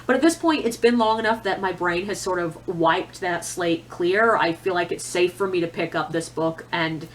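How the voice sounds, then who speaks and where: A woman's voice: lowish voice, normal speed, hardly any pauses. A woman giving a casual, chatty monologue as a book reviewer, opinionated and conversational, with self-deprecating asides.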